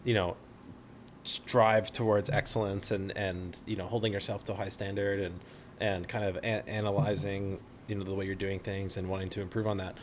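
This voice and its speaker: A man's voice: sits low, level tone, normal speed, a little hiss or hum. A man hosting an interview, chiming in with brief affirmations and asking follow-up questions. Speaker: podcast host. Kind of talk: casual interview.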